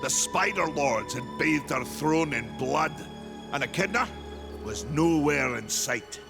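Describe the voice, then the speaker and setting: Slow, gravelly old warrior craftsman. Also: a little high, expressive, music in the background, full character voice. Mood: grave, brooding. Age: older. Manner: slow, weighty, archaic phrasing. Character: proud old male warrior maker, stern, battle-worn. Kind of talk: video game cutscene acting.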